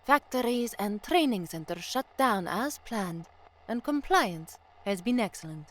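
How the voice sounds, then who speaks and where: An actress playing a young newsreader in a science fiction audio drama, weary and anxious. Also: female voice, mid-range voice, animated, unhurried, a bit bright, poor audio. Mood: formal newsreading. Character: young slum-born newsreader, anxious, weary, hard-drinking narrator. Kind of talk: audio drama first-person narration and newsreading.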